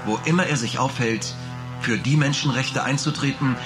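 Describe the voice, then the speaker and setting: An actor playing a solemn documentary narrator, reading scripted memorial words. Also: male voice, medium pitch, moderate pace, over music, natural voice.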